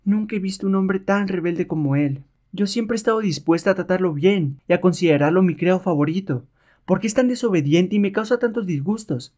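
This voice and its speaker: A man voicing an imperious, hot-tempered noblewoman with a shrill, commanding manner in a comic-book reading. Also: a little fast, a little mellow. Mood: wounded, sad. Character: imperious, hot-tempered Japanese widow, haughty slave mistress.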